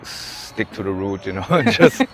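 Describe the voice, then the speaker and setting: A young man from Germany, a musician being interviewed, who talks in a relaxed, hesitant way with lots of fillers and laughs. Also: sits low, unhurried. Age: young adult. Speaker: musician guest. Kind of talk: casual interview. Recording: faint background noise.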